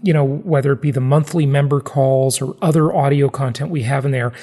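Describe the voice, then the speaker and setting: A man with a gravelly, husky voice, talking conversationally as the host of an interview podcast. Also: mid-range voice, steady tone, brisk, dark tone.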